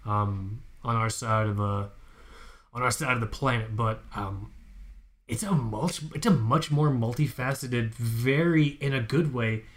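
A man's voice: mid-range voice, measured pace. Profane, hyped-up podcast host guy. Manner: hyped, profane, plugging the website. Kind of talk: casual chat.

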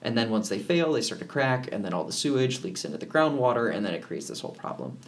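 A man co-hosting a podcast, talking in a relaxed, rambling way with many fillers and restarts. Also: medium pitch, quick pace. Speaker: podcast co-host. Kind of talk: casual podcast chat.

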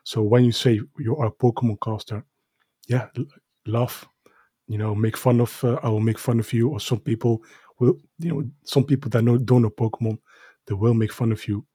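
A man's voice: mid-range voice, steady tone, normal speed, warm tone, slightly rough, no echo. A man with a Dutch accent, a native Dutch speaker, talking casually in English with many hesitations and fillers. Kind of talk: casual podcast conversation.

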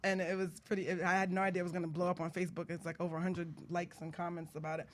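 A woman's voice: on the low side, level tone, very fast. A woman hosting a talk show, chatting casually and telling stories in a conversational way. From Bronx, New York. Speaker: radio show host. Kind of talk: casual chat and storytelling.

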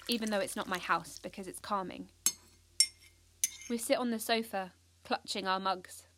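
A woman's voice: medium pitch, fairly bright tone, no room sound, natural voice. A woman playing a restless, defiant teenage schoolgirl, telling her story intensely in a first-person audio drama monologue. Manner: intense, urgent, confiding, swearing.

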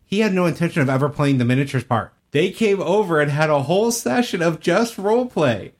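A man's voice: fairly high pitch, lively intonation, fairly quick. A man co-hosting a podcast, talking in a casual, conversational way. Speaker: podcast co-host. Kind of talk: casual discussion.

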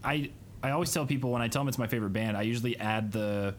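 A man's voice: mid-range voice, fast, slight background noise. A man of thirty, co-hosting a podcast in casual chat and telling a rambling story that keeps correcting itself. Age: 30s. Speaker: podcast co-host.

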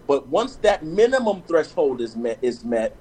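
Male panelist, slightly raised pitch, casual storyteller, faint hiss in the background. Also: sing-song, moderate pace, darkish tone. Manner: conversational, restarts and "you know what I'm saying". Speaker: panelist on a discussion show. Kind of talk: casual group chat, telling personal stories.

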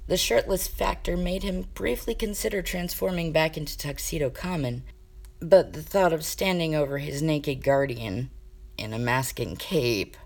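Lady reading fan fiction out loud, deep voice w lots of creak, drawn out dramatic bits. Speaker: amateur fiction reader. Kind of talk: expressive narration of a comic fan fiction story.

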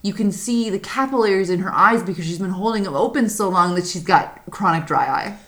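A woman with a deep voice co-hosting a podcast, chatting casually, animated and swearing freely. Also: brisk. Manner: animated, swearing, laughs often. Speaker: podcast co-host. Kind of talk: casual chat.

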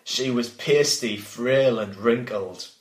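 A man's voice: medium pitch, takes it slowly. A man reading his own gothic story aloud and breaking off to banter about it. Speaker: author and reader. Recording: roomy, echoey home recording.

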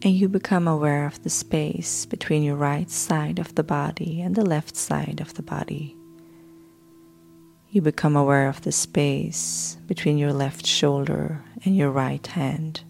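A woman podcast host narrating a guided sleep hypnosis in a soft, soothing way, with breathy phrase endings. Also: low-pitched, fairly even intonation, unhurried. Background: background music.

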